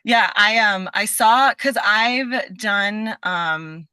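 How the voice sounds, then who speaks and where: A woman's voice: medium pitch, extremely slow, pushed and bright, smooth, no echo. A woman being interviewed as a guest, chatting casually in a scattered, enthusiastic way and peppering her sentences with "like". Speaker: guest, voice actor.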